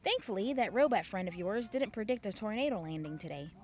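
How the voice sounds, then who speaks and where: A woman's voice: mid-range voice, animated, fairly quick, a little mellow, close to an everyday voice. A woman playing a confident, flirty army officer in an audio drama, teasing and reassuring. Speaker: lieutenant colonel.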